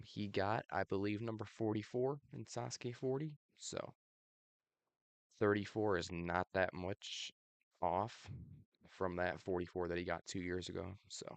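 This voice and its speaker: Low creaky man with allergy rasp. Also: no echo. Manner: relaxed, many ums, laughs at himself. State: slightly raspy from allergies. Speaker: video host. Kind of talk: casual predictions commentary.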